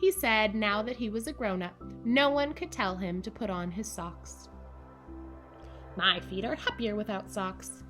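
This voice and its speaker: With music playing behind her, a woman reads to children in storybook style. Her delivery is expressive and playful, and she speaks to her young listeners directly. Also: mid-range voice, unhurried. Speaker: camp director reading a picture book to children. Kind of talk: children's storybook read-aloud. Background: background music.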